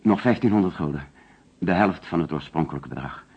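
An actor playing an agitated middle-aged businessman under police questioning in a radio drama, defensive and hesitant, with a husky voice on an old recording. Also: low voice, brisk, muffled recording. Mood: nervous.

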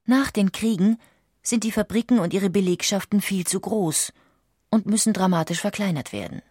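A woman reading scripted documentary narration with measured, precise enunciation. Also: mid-range voice, a little mellow, slightly rough, no room sound, studio quality.

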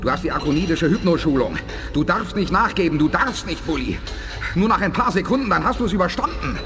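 A man's voice: a little high, expressive, fast, over music. An actor playing a resolute, authoritative male space commander in a radio play. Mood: urgent command. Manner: crisp, authoritative orders. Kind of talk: 1980s German radio play acting.

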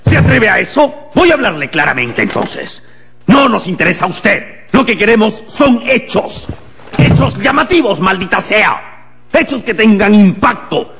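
An actor playing a haughty, contemptuous embassy official in a radio drama, emphatic and hectoring. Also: male voice, high-pitched, big pitch swings, unhurried, vocal fry, fairly bright tone, heavily acted. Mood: irate, scornful. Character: imperious, contemptuous embassy official, pale and melancholy. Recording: long hall reverb, music underneath.

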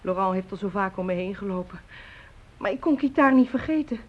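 A woman's voice: medium pitch, expressive, brisk, lightly acted. An actress playing an anxious, devoted young village mother in a radio drama, emotional and pleading. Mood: tender, confiding. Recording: dull, noisy old radio play recording.

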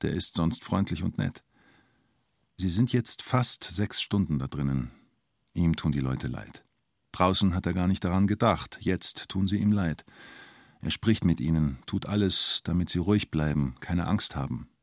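An actor playing a reflective narrator of a police siege, speaking in terse, understated observations for a radio drama. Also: male voice, low voice, animated, a little slow, dry recording, studio quality, exaggerated voice. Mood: pensive observing. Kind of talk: literary radio drama narration in present tense.

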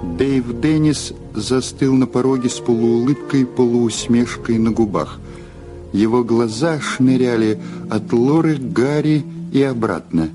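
A man's voice: mid-range voice, unhurried, over music. A man narrating a scripted story in a dramatic audio play style.

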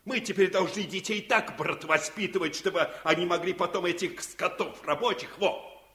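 An actor playing an arrogant, greedy factory owner in a radio play, blustering and sneering with scorn. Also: male voice, sits high, moderate pace, pushed and bright, echoey room. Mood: scornful. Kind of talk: Soviet radio play acting.

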